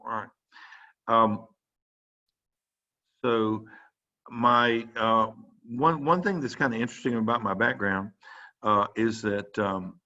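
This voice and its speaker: An older man talks through an informal lecture for students as a physician. His voice sits fairly low and he wanders through his points with many fillers and restarts. The audio is dull and muffled, like a video call. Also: creaky, pushed and bright. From South Carolina.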